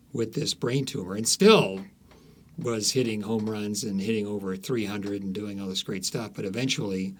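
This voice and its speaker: Lowish guy voice, author being interviewed, stop-start storytelling, leans hard on key phrases.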